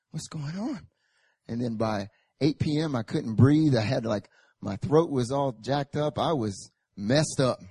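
Young male pastor, hoarse and raspy, relaxed joking turning to loud fervent prayer, dry close sound. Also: mid-range voice, no echo. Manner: casual and joking, rising to loud fervent Scripture reading and prayer. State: hoarse, recovering from a chest infection. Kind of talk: sermon. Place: church service.

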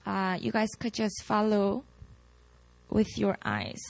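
A woman in her late twenties, a pastor with a Korean accent, chatting warmly and laughing at herself before reading scripture to a campus group. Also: medium pitch, sing-song, slow, gravelly, dry recording. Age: late 20s. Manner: chatty, self-deprecating, laughs often.

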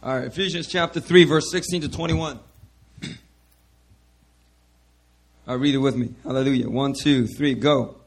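A man preaching to his church with a hoarse, worn-out voice, repeating hallelujah and calling out to the congregation over a handheld mic. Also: mid-range voice, steady tone, normal speed, long pauses. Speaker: pastor. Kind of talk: sermon.

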